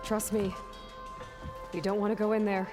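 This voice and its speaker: A woman's voice: medium pitch, level tone, normal speed, lightly acted. An actress playing a frank, resolute young heroine in a video game, direct and earnest in her delivery. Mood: guarded. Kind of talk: naturalistic video game cutscene acting. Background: clear cinematic music.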